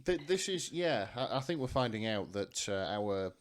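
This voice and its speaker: A man's voice: medium pitch, big pitch swings, moderate pace. A man co-hosting a podcast in casual comedic chat, speaking in a hesitant, wry way. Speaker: podcast co-host.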